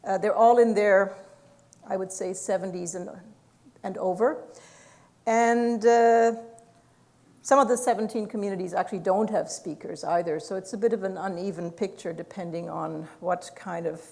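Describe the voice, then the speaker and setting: A woman lecturing at a university with a gravelly edge to her voice. She talks in an explanatory way, filling pauses with "uh" and laughing softly now and then. Her pitch sits in an ordinary middle range. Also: steady tone. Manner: explanatory, with frequent fillers and soft laughs. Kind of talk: academic lecture.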